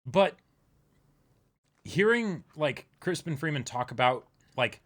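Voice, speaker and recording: Rambling, excited male podcast host. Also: on the high side, slow pace. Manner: enthusiastic, rambling, many "like" fillers. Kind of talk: casual chat.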